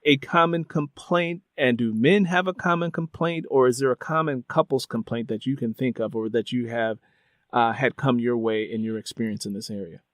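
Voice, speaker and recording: A man hosting an interview, thinking aloud in a conversational way with halting, repeated phrases as he builds his questions. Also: medium pitch, a bit bright, no echo, studio quality. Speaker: interviewer, host.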